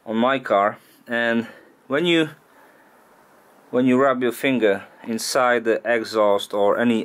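Hesitant man talking through a garage job. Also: mid-range voice. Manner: halting, thinking aloud with frequent uh and restarts. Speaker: DIY car mechanic channel host. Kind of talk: casual workshop vlog. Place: small home garage workshop.

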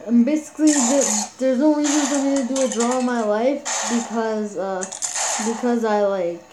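Halting, high-voiced young gamer woman. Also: noisy background. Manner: halting, repeats words, many fillers. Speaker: YouTube gamer. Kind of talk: casual mobile gameplay commentary. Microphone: phone screen recorder mic.

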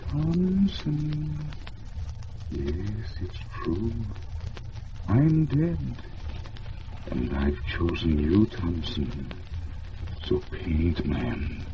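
A man voicing the sad, solemn ghost of a dead painter in an old radio drama, calling in slow, hushed tones. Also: low voice, sing-song, full character voice. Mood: solemn urging. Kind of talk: 1950s radio drama acting. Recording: dull, noisy old radio recording.

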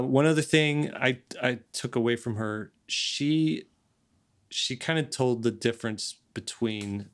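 Gravelly middle-aged man hosting a podcast. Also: medium pitch. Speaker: podcast host. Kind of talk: casual podcast chat. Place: home studio, on a video call. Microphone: large desk microphone, close.

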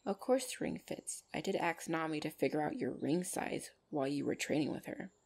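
A woman's voice: low voice, expressive, a little fast, vocal fry, a little mellow, full character voice. A woman playing a loving, bashful and protective orc girlfriend in an intimate ASMR roleplay, speaking tenderly and hesitantly. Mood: relieved, happy, teasing. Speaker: voice actor in audio roleplay.

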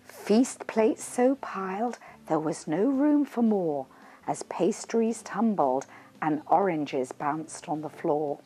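Female storyteller, middle-aged, lowish voice, very expressive, reading children's verse deliberately. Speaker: children's author reading own book. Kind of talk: expressive read-aloud storytelling in rhyming verse.

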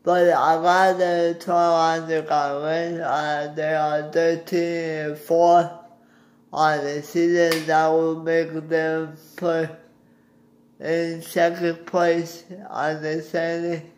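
A young man giving a casual sports recap to his phone at home, halting as he searches for words. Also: fairly high pitch, level tone. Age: young adult. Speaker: sports vlogger. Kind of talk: casual postgame basketball recap. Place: small indoor room at home. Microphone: phone at arm's length.

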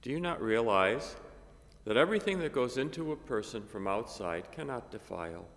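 An older man, a priest, reading a gospel and preaching a homily at a lectern microphone, measured and halting with many fillers. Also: mid-range voice, very animated, fairly bright tone. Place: cathedral chapel, at a lectern. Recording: long reverb of a chapel.

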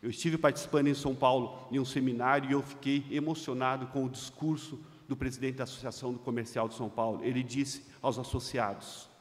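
A man's voice: medium pitch, steady tone. A middle-aged Brazilian man reading a formal speech at a conference panel, speaking into a desk microphone in a large hall. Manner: emphatic, rising loud on key phrases, slowing to stress points. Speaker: seminar speaker. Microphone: desk microphone on a stand. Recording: long hall reverb.

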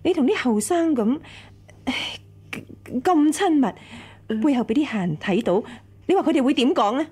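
An imperious senior wife in a radio drama, with a Hong Kong Cantonese accent. Her husky voice swings widely as she scolds, stern and self-righteous. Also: sits high, animated, normal speed, darkish tone. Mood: stern, lecturing.

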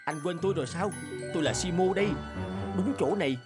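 A man voicing the male characters in a dubbed cartoon folk tale, with animated, emotional delivery. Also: high voice, fast. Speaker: voice actor doing all male parts. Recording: music bed under voice.

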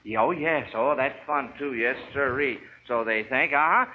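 A man's voice: mid-range voice, moderate pace, slight background noise. An American man hosting a 1940s radio quiz in a folksy, encouraging, avuncular way. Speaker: quiz show host. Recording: dull 1940s radio recording.

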